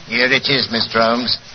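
An actor playing a gruff, deferential English police inspector in a 1940s radio drama, hesitating as he talks. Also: medium pitch, takes it slowly, slight background noise, close to an everyday voice. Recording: dull vintage 1940s radio recording, music.

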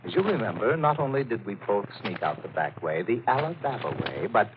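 Menacing killer, wildly swooping voice. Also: male voice, on the low side, very animated, fairly quick. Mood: gloating menace. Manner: menacing, coldly polite. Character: cold, greedy murderous cousin strangling a woman. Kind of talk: 1940s radio thriller acting. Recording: muffled, noisy old radio recording.

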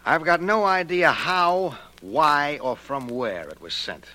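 Shady casino-boat owner, forceful bright tone, swinging pitch, evasive, emphatic, 1940s radio drama. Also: male voice, expressive, takes it slowly, full character voice, poor audio. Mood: guarded, defensive. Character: slick, defensive male gambling-ship owner. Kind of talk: 1940s radio crime acting.

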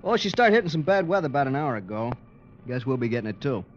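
An actor playing a breezy, joking ship's radio operator in an old radio drama, casual and bantering. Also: male voice, mid-range voice, very animated, fast talker, lightly acted. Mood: casual, informative. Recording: muffled vintage radio recording, faint noise.

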